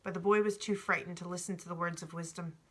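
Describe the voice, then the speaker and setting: A woman reads a storybook aloud. Her voice sits a bit low for a woman, with a bright, crisp edge. The delivery is informal, like a home read-aloud. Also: steady tone, fast talker. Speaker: audiobook reader. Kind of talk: storybook narration with informal asides.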